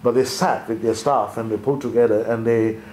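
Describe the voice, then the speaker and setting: A man from Sri Lanka talks in a measured, thoughtful way, stopping and restarting his sentences as he goes. His voice sits in a middle range. The room is echoey and there is a faint hum of ambience behind him. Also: mid-range voice, steady tone, a little mellow. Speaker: co-host, former accountant. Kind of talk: conversational leadership discussion.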